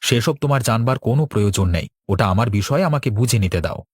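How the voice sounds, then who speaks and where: Man as cartoon village son, young farmer, steady, earnest, slightly rough voice, dry sound. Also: lowish voice, fast, no room sound. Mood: determined. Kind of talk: cartoon dialogue.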